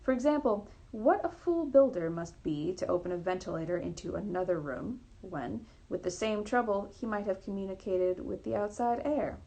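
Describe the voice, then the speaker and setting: Woman reading as a keen, gravelly male detective. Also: medium pitch, normal speed, few pauses, mellow, low-quality recording. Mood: intrigued. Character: keen, probing male detective, brisk and confident. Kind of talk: storybook reading.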